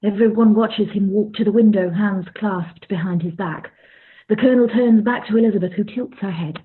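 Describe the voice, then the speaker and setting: A woman's voice: medium pitch, normal speed, husky, lightly acted. A woman narrating a scripted period radio play as a neutral storyteller, on a tinny recording.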